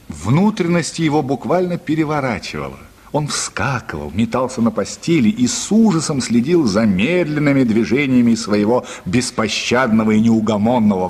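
A man's voice: medium pitch, slightly rough. A man narrating a literary radio play as a dramatic storyteller, with long reverb around his voice.

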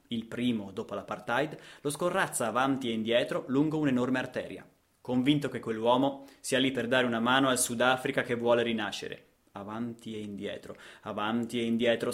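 A man telling a story as a radio theatre narrator, in deliberate, theatrical phrases with repetition. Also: mid-range voice, a bit bright.

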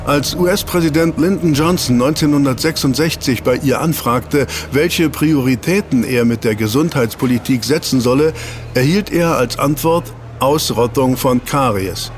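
A man's voice: mid-range voice, moderate pace, slight background noise, lightly acted. A man playing an eager researcher in a German audio drama, explaining to his team and teasing out reveals with rhetorical questions. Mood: explanatory.

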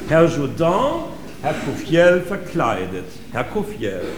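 Male stage narrator, informal and digressive, slightly high-pitched, roomy sound with faint noise. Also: wildly swooping pitch, slow.

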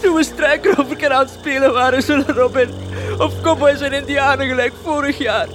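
A woman's voice: sits high, steady tone, moderate pace, background noise, lightly acted. A woman playing a timid young outlaw gang member in a comedy radio play, nervous and pleading. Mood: uneasy.

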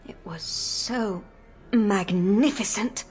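A woman's voice: medium pitch, slow pace, darkish tone, faint music behind, lightly acted. A woman in a radio drama playing an impulsive, lovelorn married woman with an emotional manner. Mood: pleading, lovelorn.

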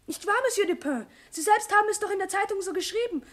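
A young male actor playing an excited, insistent errand boy in a German radio play. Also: extremely high pitch, quick pace. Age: child.

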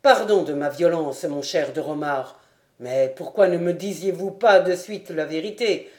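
A woman reading aloud as a furious, proud male baron who shouts in rage. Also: deep voice, unhurried, reverberant, pitched below the performer's own voice. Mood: appeased.

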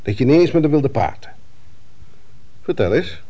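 An actor playing a fatherly but sceptical family doctor in a Dutch radio play, questioning deliberately with thoughtful hums. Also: medium pitch, sing-song, warm tone. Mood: probing, friendly.

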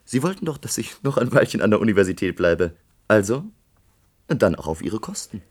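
A man's voice: fairly low pitch, very animated, a little fast. A man playing a brazen young student blackmailer in a German radio play, sardonic, taunting and smug. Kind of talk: German radio drama acting.

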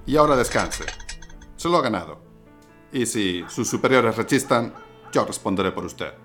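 A man playing a kindly, fatherly army officer in a historical audio drama, formal and gentle. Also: fairly low pitch, lively intonation, normal speed, soft background music. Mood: paternal concern.

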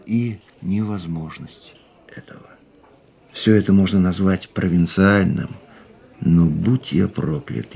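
A male actor playing a world-weary man in a radio play, musing tenderly on the woman he loves in a measured, reflective monologue. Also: low voice, gravelly, darkish tone, slightly rough, full character voice. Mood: defiant devotion. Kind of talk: literary first-person monologue in a radio play. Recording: music under the voice.

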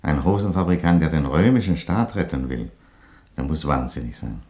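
An actor playing an aging Roman emperor in a radio play, an unhurried, wry father with a dry, sententious delivery. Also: very deep voice, expressive, dark tone. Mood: wry, earnest.

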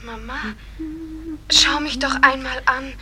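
A woman playing a dead young woman in a radio drama, emotional and halting, grieving for earthly life. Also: high voice, steady tone, pushed and bright, slight background noise, heavily acted. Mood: urgent pleading. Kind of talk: theatrical radio drama acting.

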